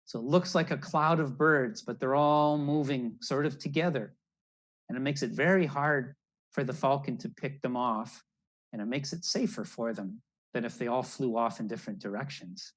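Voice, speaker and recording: A man's voice: mid-range voice, moderate pace, fairly bright tone. An older man reading a children's picture story aloud and explaining it as a naturalist, recorded indoors on a video call. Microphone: video call computer microphone.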